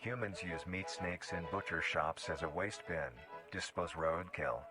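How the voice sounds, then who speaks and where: A man reading scripted documentary-style narration in a formal, measured, explanatory manner. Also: low voice. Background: background music.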